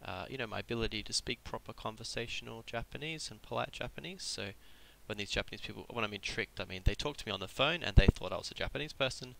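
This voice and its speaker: Gravelly-voiced man teaching Japanese with a cold. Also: lowish voice, quick pace, hardly any pauses, a bit bright, slight background noise, low-quality recording. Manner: casual, explanatory, frequent ums and restarts. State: has a cold. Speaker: Japanese tutor. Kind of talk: live-streamed language lesson.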